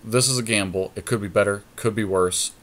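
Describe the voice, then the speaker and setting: A man's voice: lowish voice, fairly quick. A man co-hosting a podcast, chatting casually and thinking aloud as he plays a card game. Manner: deliberating, thinks out loud. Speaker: podcast co-host.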